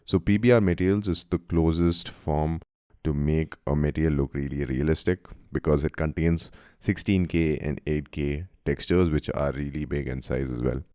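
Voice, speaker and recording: A man from India with a cold, narrating a friendly software tutorial and calling his viewers guys. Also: very deep voice, fairly even intonation, normal speed, clear, resonant tone, no room sound, clean studio sound. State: has a cold, voice deeper than usual. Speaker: software instructor. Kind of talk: screen-recorded tutorial narration.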